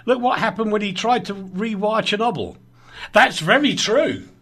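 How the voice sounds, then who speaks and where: A man's voice: high voice, normal speed. A man hosting a casual chat show, teasing his co-hosts and giggling as he talks. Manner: teasing, giggly, lively banter. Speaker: podcast host.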